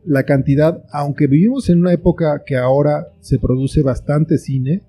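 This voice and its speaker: Mexican man, higher-set voice, very soft dark tone, reflective interview guest, guitar music behind. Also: moderate pace. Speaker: interview guest, screenwriter and author. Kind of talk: conversational interview answers.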